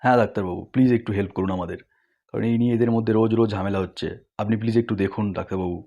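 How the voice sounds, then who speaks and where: A man's voice: lowish voice, fairly even intonation, very fast, warm tone. A man voicing a practical, confident friend in a comedy cartoon, speaking. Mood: earnest.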